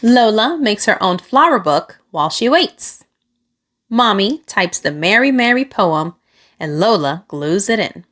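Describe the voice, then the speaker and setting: Slow, lively woman reading a picture book. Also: mid-range voice. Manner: expressive, deliberate phrasing for young children. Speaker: children's storybook reader. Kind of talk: read-aloud picture book narration.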